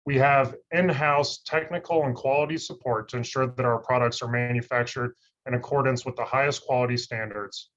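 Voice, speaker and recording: A man scientist giving a steady, monotone scripted webinar presentation over a video call. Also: mid-range voice, fairly quick, hardly any pauses. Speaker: industry research scientist presenting. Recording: slightly dull lo-fi call audio.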